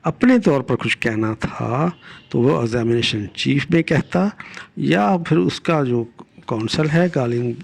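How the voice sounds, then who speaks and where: An older man with a Pakistani accent gives a deliberate, didactic law lecture, repeating key phrases and switching into English legal terms. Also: mid-range voice, expressive, gravelly. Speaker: retired judge giving legal lessons.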